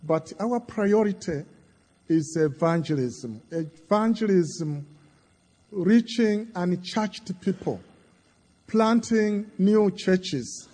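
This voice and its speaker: Tanzanian man, Kiswahili first language, guest preacher; high, unhurried delivery in short phrases, echoing church. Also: animated, warm tone. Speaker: visiting bishop preaching. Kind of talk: sermon. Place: large church. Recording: long church reverb.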